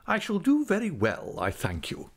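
A man voicing a stern, brusque elderly great-aunt in a storybook, with a crisp, commanding manner. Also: high-pitched, huge pitch swings, moderate pace, voice pushed up, exaggerated voice. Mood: brisk, firm. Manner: crisp, commanding, bluntly disapproving.

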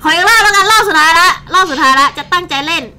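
High-pitched young woman, excitable gamer. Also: rapid-fire. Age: young adult. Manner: excitable, exclamatory, muttering reactions while playing. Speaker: gaming show host. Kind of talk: casual gameplay commentary.

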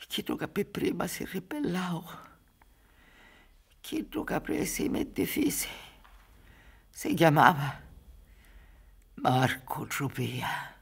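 An actress in her sixties playing an anguished, bitter old Sicilian peasant mother in a radio drama, with a Sicilian accent and halting, dramatic delivery. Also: on the low side, creaky, husky, heavily acted. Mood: haunted, bitter. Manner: dramatic, halting, swinging between hushed whispers and cries. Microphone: studio microphone with headphones on a theatre stage.

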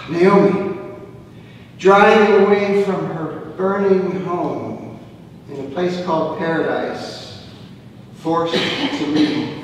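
A man in his sixties preaching a sermon from the pulpit, telling the story with gestures and dropping to a hush on key phrases. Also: sits high, slow pace, long pauses, a little hiss or hum. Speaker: pastor. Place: church sanctuary, at the pulpit. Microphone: far camera, room mic. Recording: echoey church hall from far off.

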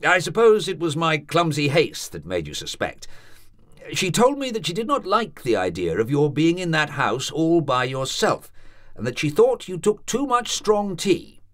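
Man doing a serious old doctor, slow careful formal talk, lively rise and fall. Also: on the high side, voice pushed up. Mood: advising. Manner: measured, solemn, formal. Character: grave, deliberate older male country doctor.

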